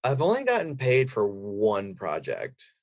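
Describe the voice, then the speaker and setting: A man's voice: fairly low pitch, huge pitch swings, unhurried, no echo, dull audio. A man speaking as an interview guest about his voice work, in a rambling, enthusiastic way. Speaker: guest, voice actor.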